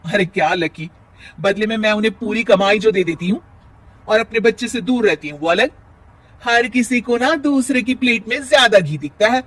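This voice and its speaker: A man's voice: high voice, expressive, normal speed, fairly bright tone, distant mic, voice pushed up. A man voicing an exasperated, complaining young working daughter-in-law in a family story. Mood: irritated.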